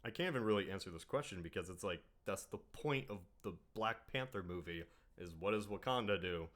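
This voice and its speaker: Low-voiced man drawling through podcast chat. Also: animated, brisk, a bit bright. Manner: drawling, trails off into mumbles. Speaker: podcast host.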